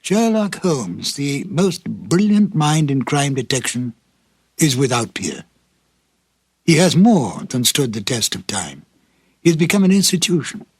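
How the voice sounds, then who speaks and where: A man hosting a program with scripted narration, dramatic and deliberate like a storyteller, in a slightly husky voice. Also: a little high, dry recording. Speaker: host introducing old radio episodes.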